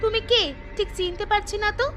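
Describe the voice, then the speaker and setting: Woman as a high-voiced, brazen cartoon chicken thief. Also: fairly even intonation, fast. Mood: defiant. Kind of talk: cartoon story acting. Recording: music under the voice.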